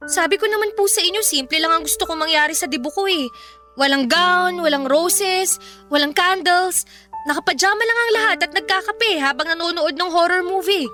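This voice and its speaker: A woman's voice: very high voice, a little fast, bright, forceful tone, faint music behind. A woman playing an earnest teenage daughter in a radio drama, politely pleading and protesting. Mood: frustrated, protesting. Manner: pleading, polite but complaining.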